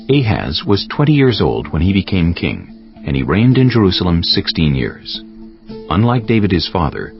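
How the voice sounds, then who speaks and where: A man narrating an audiobook of scripture in a solemn, measured, dramatic way. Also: very deep voice, creaky, slightly rough, lo-fi sound.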